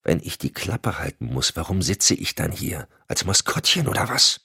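A man's voice: low voice, sing-song, moderate pace, creaky, sharp tone, slightly rough, dry recording, studio quality, exaggerated voice. A man voicing a blunt, outspoken young male FBI agent. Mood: whispering. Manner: raised and blunt, later whispering. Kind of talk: audiobook acting.

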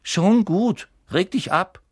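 A man voicing a pair of joking teenage twin brothers, loud and teasing. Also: sits high, slow pace, slightly rough, no room sound, studio quality, pitched above the performer's own voice, full character voice.

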